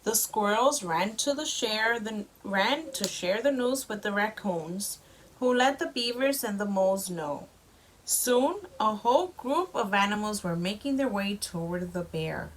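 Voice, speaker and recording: Woman, mother reading to child, storybook narration, lively intonation, slow pace, slightly noisy home recording. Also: medium pitch. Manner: slow, expressive child-directed delivery. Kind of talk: storybook read-aloud with simple explanations for a child.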